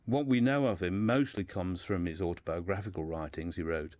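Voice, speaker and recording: Deep-voiced man in a radio discussion. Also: brisk, a little mellow. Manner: hesitant, often repeating words and phrases as he builds sentences. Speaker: guest discussing a writer's life. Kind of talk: radio discussion, informal biographical storytelling.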